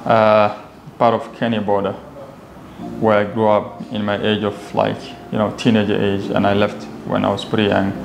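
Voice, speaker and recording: A man's voice: fairly low pitch, monotone, moderate pace, vocal fry. Young Somali man in an echoey room. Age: young adult. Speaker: interview guest, entrepreneur. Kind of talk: casual personal storytelling in an interview. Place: indoor office lounge with glass walls. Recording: echoey room, faint background noise.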